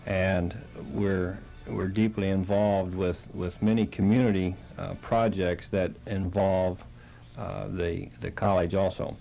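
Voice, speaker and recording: A man, a halting and plain-spoken interview guest, explaining things on the radio. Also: low-pitched, level tone, vocal fry, warm tone, dull audio, slight background noise. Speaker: interview guest, Red Cross chapter director.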